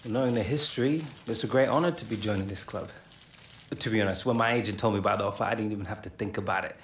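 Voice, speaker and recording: An actor playing an easygoing young footballer in a naturalistic video game scene. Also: male voice, fairly low pitch, fast talker, slight background noise, lightly acted. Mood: earnest, grateful. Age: young adult. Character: easygoing eighteen-year-old male professional footballer.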